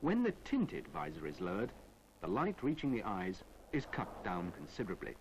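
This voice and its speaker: Low-voiced British male instructional narrator. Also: huge pitch swings, a little hiss or hum, poor audio. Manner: measured, didactic delivery. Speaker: training film narrator. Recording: 1970s film soundtrack.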